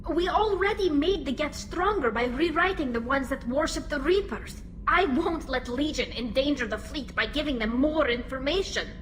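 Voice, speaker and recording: A woman playing an earnest, anxious young alien engineer in a video game, expressive and nervous in delivery. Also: sits high, moderate pace, few pauses, slight background noise. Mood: indignant, pleading.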